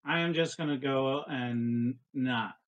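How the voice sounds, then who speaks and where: A man's voice: mid-range voice, slow, lo-fi sound. A man playing a tabletop game, describing actions in a casual, rambling way.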